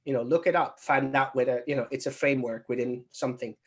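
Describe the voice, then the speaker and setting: A man's voice: medium pitch, fairly even intonation, fast talker. A man answering questions casually as a recruitment trainer, thinking aloud and rambling as he explains.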